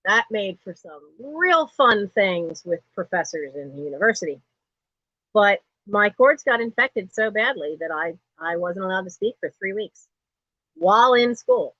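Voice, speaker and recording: A middle-aged woman with a low speaking voice hosts a live online sale from home, wandering from one story to the next and laughing often. Her pitch sits in a middling range. The stream audio is dry and a bit dull and lo-fi. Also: lively intonation, unhurried, fairly bright tone, dry recording. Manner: chatty and digressive, laughs often. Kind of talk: rambling casual live-stream chat.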